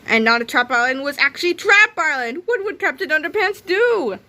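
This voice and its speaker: Bright-voiced woman reading a children's comic. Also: high-pitched, moderate pace. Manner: expressive, dramatic, with stumbles and re-reads. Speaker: read-aloud narrator. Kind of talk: animated children's comic read-aloud.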